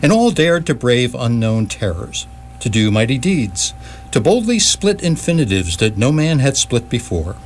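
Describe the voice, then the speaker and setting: A man's voice: mid-range voice, sing-song, normal speed. Male narrator, grandiose comic storyteller, very varied intonation, faint hum behind. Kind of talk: scripted comic storytelling narration for radio theatre. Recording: stage performance with faint hum.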